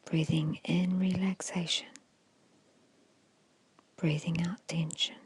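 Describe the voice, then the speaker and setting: A woman guiding an ASMR meditation in a hushed, soothing, breathy whisper with short, measured phrases. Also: fairly low pitch, little pitch movement, dry recording. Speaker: hypnosis and meditation guide.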